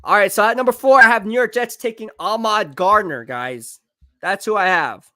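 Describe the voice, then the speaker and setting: A man live-streaming football draft commentary, excitable and hyping each pick, his voice going hoarse. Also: high voice, moderate pace, dry recording, studio quality. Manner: excitable, hypes picks, reads chat aloud. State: losing his voice.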